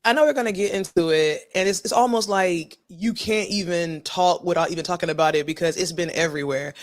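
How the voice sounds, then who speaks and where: A woman co-hosting a podcast in casual chat, slightly hoarse after a cold, chatty and playful. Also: fairly low pitch. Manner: chatty, playful, talks in quick bursts. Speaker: podcast co-host.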